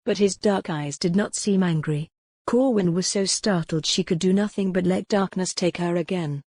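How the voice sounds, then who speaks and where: A woman narrates a historical romance audiobook in a fairly low register. Her delivery is scripted dramatic storytelling, recorded dry with hardly any room sound. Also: moderate pace, a little mellow, dry recording.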